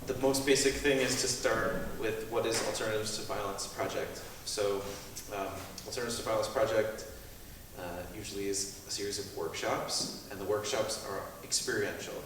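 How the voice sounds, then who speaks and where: A man speaking informally as a panelist, halting and thinking aloud in short pieces. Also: on the low side, fairly even intonation, a bit bright. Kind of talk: informal, unscripted talk to an audience. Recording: very echoey hall, distant mic, noisy.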